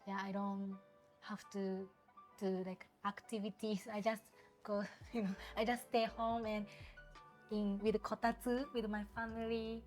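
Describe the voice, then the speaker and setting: A woman in her twenties from Japan, with a Japanese accent, speaking English as a second language in a casual interview and pausing often to search for words. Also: mid-range voice, little pitch movement, darkish tone, soft background music. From Osaka, Japan. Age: 20s. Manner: hesitant, searching for words, many fillers. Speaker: guest.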